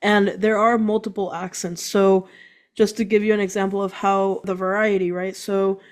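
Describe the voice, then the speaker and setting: A woman who is a guest on a business podcast, explaining her work quickly and enthusiastically. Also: mid-range voice, little pitch movement. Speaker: guest entrepreneur. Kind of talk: casual interview chat.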